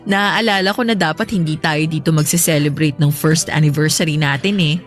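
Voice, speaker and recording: A woman's voice: low-pitched, steady tone, brisk, lightly acted. A woman playing a practical, contented girlfriend in an audio love drama, with a conversational, reasoning manner. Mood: fond, chatty. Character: contented adult girlfriend, practical, unconvinced about marriage. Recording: music bed behind the voice.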